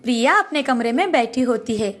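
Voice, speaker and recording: A woman's voice: on the high side, expressive, fairly quick, fairly bright tone, soft background music. A woman narrator reading a scripted moral bedtime story.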